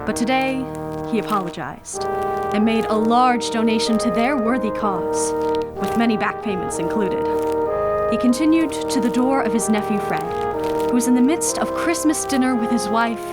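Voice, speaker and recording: A woman's voice: fairly high pitch, lively intonation, normal speed, loud music behind the voice, close to an everyday voice. A woman narrating a radio play as a storyteller, reading scripted prose. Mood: storytelling. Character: female storyteller narrating a Christmas tale. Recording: loud musical score behind.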